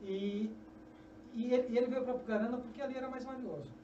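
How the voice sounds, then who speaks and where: High-voiced Brazilian man telling a story. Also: quick pace. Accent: Brazilian Portuguese. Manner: animated storyteller, gestures often, restarts phrases. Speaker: guest, journalist and author. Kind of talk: storytelling interview answers. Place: small indoor podcast studio. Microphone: desk mic on a stand. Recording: echoey, distant, dull, noisy.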